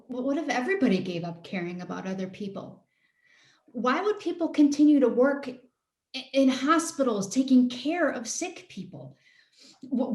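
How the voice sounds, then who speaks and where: An actress playing an earnest, caring woman, halting as she searches for words, in a play reading over a video call. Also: on the high side, a little mellow. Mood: worried, hurt. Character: earnest, caring woman pleading with a cynical friend. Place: roomy space, live Zoom call. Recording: roomy echo on video call.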